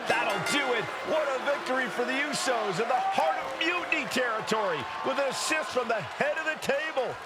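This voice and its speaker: Very high-pitched, excited male wrestling announcer. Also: brisk, hardly any pauses. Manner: emphatic, dramatic calls. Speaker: play-by-play wrestling commentator. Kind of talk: excited sports commentary in a video game. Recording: loud arena noise.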